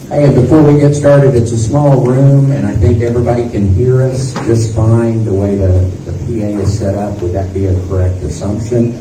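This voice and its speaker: A man making relaxed, chatty announcements to a small meeting room. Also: medium pitch, moderate pace, few pauses. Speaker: event organizer. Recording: roomy, distant, noisy PA.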